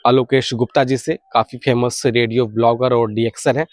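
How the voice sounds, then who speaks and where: A man's voice: medium pitch, flat delivery, normal speed, no echo. Indian man hosting, very dry close sound. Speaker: video channel host sharing radio hobby news. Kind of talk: informal news update to viewers.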